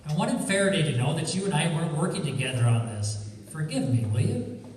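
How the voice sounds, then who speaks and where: A man acts out a breezy, wisecracking sleuth for a radio play, in a fairly high male voice. He is heard from a distance in a long-ringing hall with faint noise. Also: expressive, brisk, darkish tone, natural voice. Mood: breezy, apologetic. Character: cocky, wisecracking male amateur sleuth, apologetic but breezy. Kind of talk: old-time radio detective drama acting.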